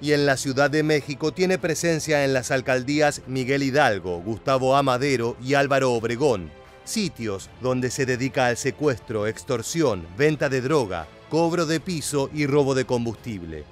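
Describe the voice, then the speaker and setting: A man with a mid-range voice narrates a scripted documentary in a steady, measured way. Soft music runs under his voice. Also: medium pitch.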